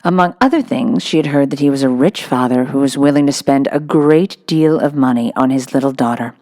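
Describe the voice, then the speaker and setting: Woman, low voice, children's audiobook narration, dry close sound. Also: a little mellow, no echo. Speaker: audiobook reader. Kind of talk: scripted storybook narration for children.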